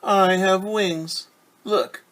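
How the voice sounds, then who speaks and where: A man's voice: high voice, steady tone, drawn-out words, fairly bright tone, pitched above the performer's own voice, full character voice, rough recording. Man voicing a smug, blunt storybook bumblebee. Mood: smug, showing off. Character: matter-of-fact, boastful busy bumblebee. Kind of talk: children's storybook character voice.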